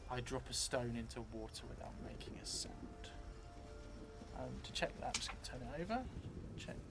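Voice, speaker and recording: A middle-aged man thinking aloud as he plays a solo roleplaying game, halting and trailing off as he goes. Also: mid-range voice, vocal fry. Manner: halting, musing, trailing off as he writes. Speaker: solo tabletop roleplay streamer. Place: indoor theatre room, seated at a table. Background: music.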